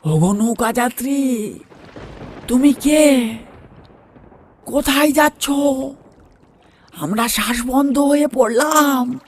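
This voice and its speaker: A man voicing anguished drowned ghosts in a horror audio story, pleading for rescue in drawn-out wailing calls. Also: very high-pitched, pitched above the performer's own voice, full character voice.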